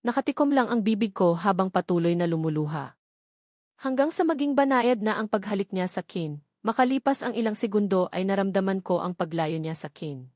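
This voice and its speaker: A woman's voice: medium pitch, brisk, a little mellow, dry recording. Filipino woman narrating a romance novel, close and dry. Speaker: audiobook narrator. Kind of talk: scripted first-person romance novel narration.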